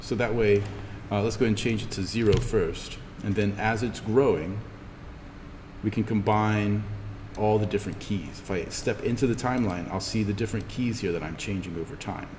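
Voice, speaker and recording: A man giving an informal in-class software tutorial, explaining step by step as he demonstrates. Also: lowish voice, moderate pace. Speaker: instructor. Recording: reverberant room with background noise.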